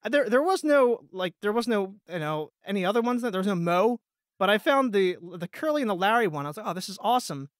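A man's voice: high voice, expressive, fast, no echo, studio quality. A man telling stories in a casual podcast chat, peppering them with "like" and quoted reactions. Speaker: voice actor, podcast guest.

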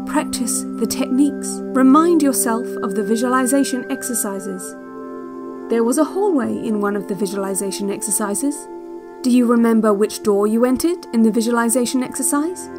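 High-voiced, hypnotic relaxation tape instructor played by a woman. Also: natural voice. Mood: calm, instructive. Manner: measured, deliberate, hypnotic, clinical. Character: calm, controlling female relaxation cassette instructor at an institute. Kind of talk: slow guided-meditation narration in audio drama. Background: new-age music.

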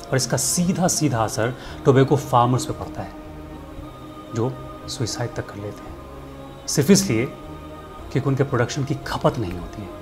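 A man's voice: mid-range voice, lively intonation, normal speed, long pauses. A middle-aged man, presenting a scripted address to camera in an earnest, persuasive manner rhetorical questions. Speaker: filmmaker and storyteller presenting a commentary segment. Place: indoor studio set. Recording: music bed underneath.

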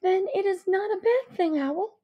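A woman voicing a mother who speaks softly but fiercely to her daughter. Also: very high voice, unhurried, dull audio, voice pushed up. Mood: tender. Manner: soft, earnest, emotional. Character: tender, fierce mother with a hushed voice.

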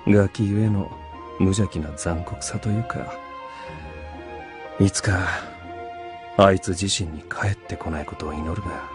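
A man playing a roguish, self-important older beast hunter and mentor in a Japanese radio drama, teasing and rough in his speech. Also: sits low, steady tone, unhurried, long pauses, over music. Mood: stern, wry.

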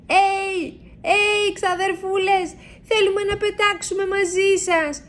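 A woman voicing an excited little boy mouse who dreams of flying, with bouncy, squeaky exclamations.

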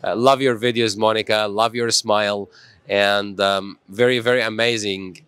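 A man's voice: lowish voice, steady tone, normal speed, fairly bright tone, clean tone, dry recording. A middle-aged man hosting a live stream in a small studio, upbeat and motivational, thanking commenters. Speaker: host.

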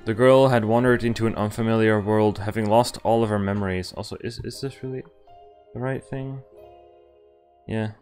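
A man's voice: on the low side, steady tone, normal speed, a little mellow. A young man streaming a visual novel, reading its text aloud and adding casual commentary. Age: young adult. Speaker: game streamer. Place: indoor room, webcam stream. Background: game music.

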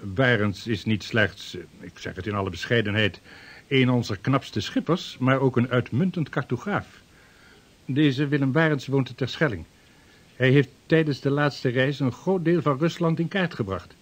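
Husky, earnest veteran seafarer, played by a man. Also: medium pitch, normal speed, close to an everyday voice. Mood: respectful, explanatory. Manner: formal, respectful, persuasive. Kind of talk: Dutch radio drama acting.

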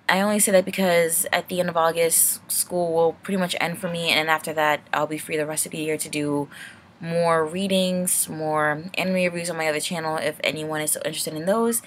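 A woman's voice: lowish voice, slight background noise. A young woman giving a casual, rambling channel update in a hesitant, apologetic, nervous way. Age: young adult.

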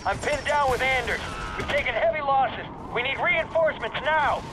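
An actor playing a tough marine sergeant calling for reinforcements under fire. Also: male voice, very high voice, normal speed. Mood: urgent. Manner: urgent radio calls. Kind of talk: video game cutscene acting. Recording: battle noise around.

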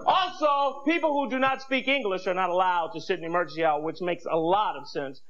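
An American man in his thirties performing stand-up comedy on stage in an animated, exclaiming manner, speaking into a handheld microphone. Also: high voice, fast talker, some chatter behind. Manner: animated, exclaiming, acting out bits. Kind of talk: stand-up comedy routine to an audience. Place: comedy club stage with audience. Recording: muffled VHS TV recording, noisy audience. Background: audience noise and laughter.

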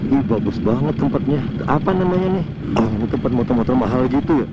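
A man's voice: medium pitch, expressive, fast, dull audio. A man from Banjarmasin in Indonesia, chatting casually as a riding companion on a motovlog. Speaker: rider, guest on a motovlog. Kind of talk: casual chat. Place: outdoors on a motorbike and at petrol station. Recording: loud traffic and wind noise behind.

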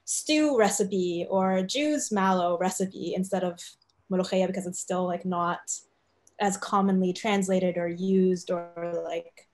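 A woman's voice: on the low side, steady tone, a little slow, a little mellow. A woman moderating a panel over a video call, talking chattily with many fillers.

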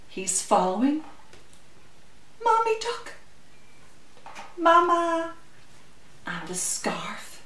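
A middle-aged woman hosts a story time for small children. She speaks very slowly and expressively, stretching out her words in a sing-song way. The recording is roomy and a little distant, with faint noise. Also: medium pitch, fairly bright tone. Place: glass-walled conservatory at home. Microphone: camera mic a little way off.

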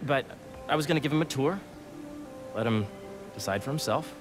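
A man's voice: mid-range voice, normal speed, over music, natural voice. An actor playing a friendly, idealistic young American man in a video game cutscene, talking casually and earnestly. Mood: casual, upbeat. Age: young adult. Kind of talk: naturalistic game cutscene acting.

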